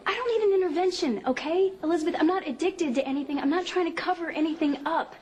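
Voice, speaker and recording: A young actress playing a distraught, defensive medical student in a TV soap, speaking in a strained, clipped way. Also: female voice, very high voice, quick pace, crowd noise. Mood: defensive, upset. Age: young adult. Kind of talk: TV soap opera acting. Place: indoor hospital set.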